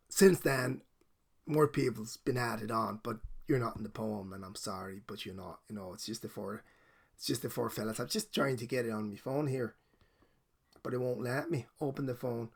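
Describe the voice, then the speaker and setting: A man's voice: medium pitch, moderate pace, creaky, a bit bright, husky. A middle-aged Danish man with a Danish accent touched by Irish and American traces, talking casually and apologetically in a heartfelt video message, rambling and laughing often. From Denmark. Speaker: comic book collector. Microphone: desk microphone.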